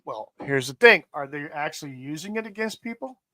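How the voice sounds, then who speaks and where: A man with a high, bright voice talks over current events on a casual podcast. He rants and curses with emphasis and keeps going at length. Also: animated, brisk. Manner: profane, ranting, emphatic. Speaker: podcast host. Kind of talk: casual political chat.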